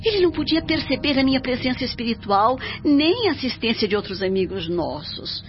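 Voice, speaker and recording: A Brazilian woman acting a serene, devoted spirit mother in a radio drama, speaking in a solemn, measured way. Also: high-pitched, very animated. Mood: grave, explaining. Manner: solemn, measured, edifying delivery. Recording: dull lo-fi audio under music.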